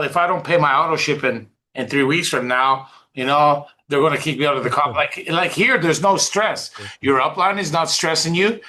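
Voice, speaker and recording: Bright, forceful, higher-pitched man hosting. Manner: insistent, conversational, repeats points and checks in with "right?". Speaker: online team call host. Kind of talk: unscripted motivational talk.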